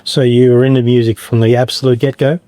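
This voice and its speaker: Australian male host, easygoing interviewer, warm mellow voice, dry close mic. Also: medium pitch, level tone, fast talker, dry recording. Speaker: podcast host. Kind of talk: podcast interview.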